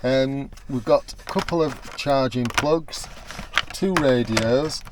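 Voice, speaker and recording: A man's voice: mid-range voice, takes it slowly, noisy background. A man with a British accent chatting casually to camera as a product reviewer. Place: in and around a van.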